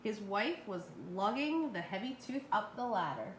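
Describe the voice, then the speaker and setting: A woman's voice: mid-range voice, sing-song, a little slow. A woman reading a children's story aloud expressively as a storyteller. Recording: roomy, echoey with faint noise.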